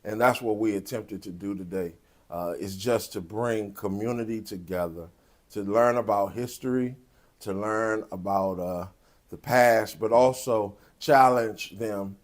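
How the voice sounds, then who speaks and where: A man with a fairly low voice speaks in an interview as a pastor and producer. His delivery is deliberate and halting, as he works through his thoughts. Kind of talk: post-show interview.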